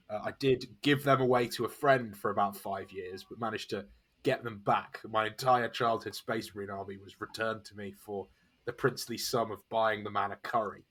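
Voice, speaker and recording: Rambling young man, mid-pitched. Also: fairly bright tone. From England, East Midlands. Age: 20s. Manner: rambling and self-correcting, with frequent "uh" and "like". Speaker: guest game designer. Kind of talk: casual podcast interview.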